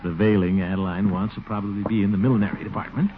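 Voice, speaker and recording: An actor playing a pompous, blustery, easily flustered middle-aged water commissioner in a 1940s radio comedy, on a noisy, muffled recording. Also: male voice, on the low side, fairly even intonation, quick pace, natural voice. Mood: smug, scheming. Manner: blustery, self-important, chuckling.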